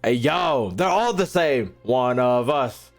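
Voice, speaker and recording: Casting: male streamer, mid-pitched and very animated, slangy mock-outraged heckler, faint game music behind. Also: slow pace. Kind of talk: casual gameplay reaction commentary.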